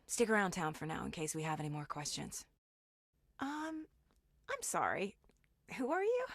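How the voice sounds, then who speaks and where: An intuitive female FBI agent from a video game, thinking through a case with measured intensity. Her voice is slightly low and husky with some gravel in it. The sound is dry and close. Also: very animated, no echo, full character voice. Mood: guarded, uneasy. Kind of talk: video game voice acting, investigative monologue.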